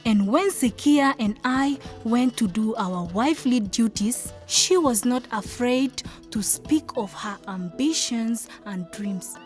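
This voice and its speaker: An actress playing a timid, dreamy young village wife from South Sudan in a radio drama, earnest in manner. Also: female voice, a little high, expressive, takes it slowly, few pauses, faint music behind. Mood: wistful storytelling. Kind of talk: radio drama narration and acted dialogue.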